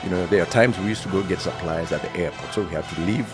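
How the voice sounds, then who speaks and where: A middle-aged man from Kenya with a Kenyan accent, telling his life story as an interview guest, animated and full of "you know". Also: low voice, fast, over loud music.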